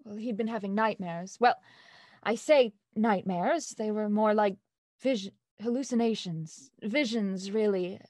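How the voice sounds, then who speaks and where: An actress playing a genteel young heiress in grief, speaking in a hesitant, polite way in an audio drama. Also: female voice, medium pitch, no room sound, lightly acted. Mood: wistful, composed. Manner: halting, soft-spoken, polite.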